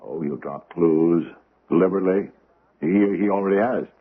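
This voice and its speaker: An actor playing an aging, pompous judge with hesitant, formal phrasing in an old-time radio drama. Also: male voice, low voice, normal speed, husky. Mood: brooding, musing. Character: aging pompous judge, ponderous, secretive and grumbling. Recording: muffled, band-limited vintage radio recording.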